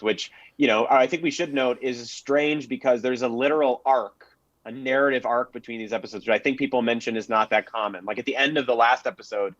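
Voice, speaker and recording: A man explaining background details as a panelist in a casual discussion, measured and informative, on slightly dull audio. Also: mid-range voice, a bit bright, no echo. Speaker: panelist, researcher.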